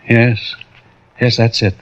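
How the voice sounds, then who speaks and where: A man's voice: mid-range voice, little pitch movement, takes it slowly, close to an everyday voice. An actor playing a grieving middle-aged businessman father, hesitant and subdued, in 1940s radio acting. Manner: hesitant, subdued, then pleading. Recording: hissy lo-fi old radio broadcast.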